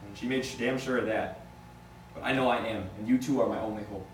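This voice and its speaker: A man's voice: fairly low pitch, fairly even intonation, quick pace, close to an everyday voice. A man reading the part of an accused businessman, blunt and resigned, in an amateur script table read. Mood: grim, resigned. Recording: distant, echoey room with faint noise.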